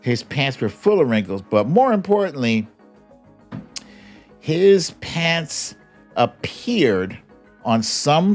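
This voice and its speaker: An American man hosting a livestream with casual, humorous political commentary in a mocking, conversational way. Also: fairly high pitch.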